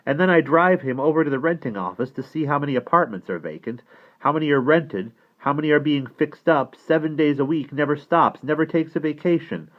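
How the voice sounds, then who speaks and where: A man's voice: fairly high pitch, fairly quick, highs cut off, pitched above the performer's own voice, natural voice. A man voicing an indignant, bitter young man who rants emphatically and sardonically about his boss. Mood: exasperated, indignant.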